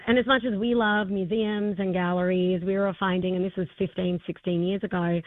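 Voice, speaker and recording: A woman's voice: medium pitch, dry recording. Enthusiastic Australian woman, slightly muffled dry recording. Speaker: interview guest, arts festival co-founder. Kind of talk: conversational interview.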